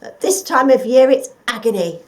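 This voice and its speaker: Woman as an emphatic, excitable storybook guard dog. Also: on the high side, moderate pace, voice pushed up, natural voice, low-quality recording. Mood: miserable. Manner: emphatic, eager, plaintive. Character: gullible, anxious male guard dog, loud and pleading.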